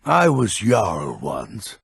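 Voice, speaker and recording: An actor playing a grumpy, suspicious old man in a fantasy video game, with a gravelly, husky voice and a grumbling, wary manner. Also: lowish voice, wildly swooping pitch, extremely slow, no echo, studio quality, full character voice. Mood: bitter, wary. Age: older.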